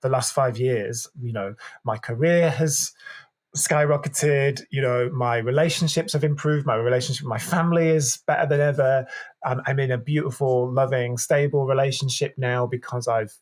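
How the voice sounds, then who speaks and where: A man's voice: medium pitch, fairly even intonation, normal speed. A man, a podcast guest speaking openly and reflectively, often restarting his sentences. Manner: open, reflective, with frequent restarts and throat clearing. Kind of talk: candid conversational interview.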